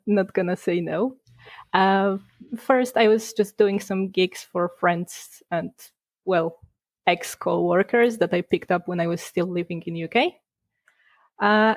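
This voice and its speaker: A young woman from Poland chats through an interview, restarting words and laughing between points. Her voice sits in a middle pitch, recorded dry and close. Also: a little mellow, dry recording. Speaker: guest, game designer. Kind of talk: casual podcast interview.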